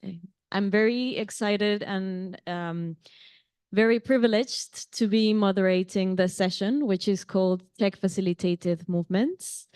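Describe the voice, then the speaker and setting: A native Spanish-speaking woman from Mexico, with a Mexican accent, opens a conference panel in English. Her voice is smooth and clear, with a dry, close sound, and she keeps the tone friendly with light laughs, frequent ums and small restarts. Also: mid-range voice, a little mellow, no room sound. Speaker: panel moderator. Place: conference venue.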